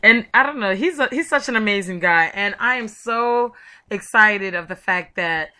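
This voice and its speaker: A woman's voice: medium pitch, lively intonation, moderate pace, bright, forceful tone. A woman hosting a chatty promotional interview, talking in an effusive, gushing way. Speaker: show host and interviewer.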